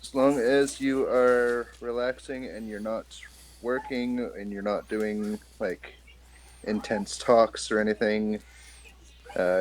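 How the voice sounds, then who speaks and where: A man's voice: fairly low pitch, slight background noise. A man acting as game master, talking players through a tabletop role-playing game.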